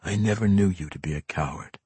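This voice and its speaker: A man voicing a stern, noble elf warrior in a fantasy story, speaking in a formal, measured and challenging way. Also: deep voice, dark tone, husky, no room sound. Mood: stern disappointment.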